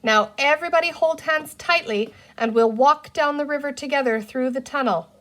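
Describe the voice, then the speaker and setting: Storybook eldest girl, female reader. Higher-pitched, decisive, bossy, the sensible leader of the children. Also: moderate pace, fairly bright tone. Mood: urgent, decisive. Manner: firm, takes charge.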